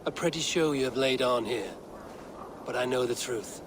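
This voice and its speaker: A man's voice: mid-range voice, background noise, lightly acted. Man acting a stern, blunt Viking warrior. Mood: accusing. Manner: measured, blunt, probing. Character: stern, shrewd Viking warrior investigating a conspiracy. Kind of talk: dramatic video game acting.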